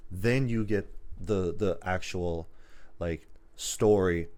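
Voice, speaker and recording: A man's voice: deep voice, slow, darkish tone. A man hosting a podcast in a casual, rambling chat, listing things off as he goes. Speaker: podcast host.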